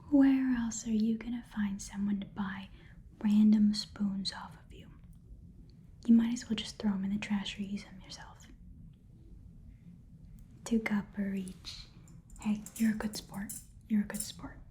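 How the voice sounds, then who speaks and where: A woman's voice: medium pitch, steady tone, unhurried, long pauses, dark tone, a little hiss or hum, natural voice. A woman playing a chatty, nosy goblin pawn shop keeper in a whispered ASMR roleplay, rambling casually and muttering repeated words. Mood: friendly haggling.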